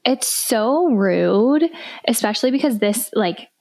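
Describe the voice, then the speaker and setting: A woman's voice: a little high, lively intonation, slow, creaky, a little mellow. A young woman co-hosting a podcast, chatting casually and laughing often, with many "like" fillers. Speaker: podcast co-host. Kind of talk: casual chat.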